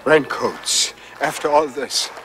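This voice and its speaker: An actor playing a skeptical male opera singer who speaks halting, broken English. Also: mid-range voice, background noise, natural voice. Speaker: opera tenor. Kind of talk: film drama acting.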